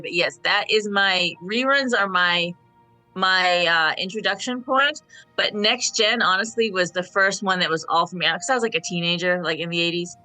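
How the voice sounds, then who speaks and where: Bright-voiced, emphatic woman in her fifties. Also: mid-range voice, poor audio. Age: 50s. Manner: emphatic, repeats phrases for stress. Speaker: panel guest. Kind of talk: casual fan discussion.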